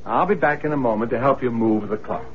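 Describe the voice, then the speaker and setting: A man's voice: medium pitch, fast, slight background noise, lightly acted. A man playing a polite, plain-spoken seller of household goods in a radio drama. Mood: obliging. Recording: dull lo-fi radio recording.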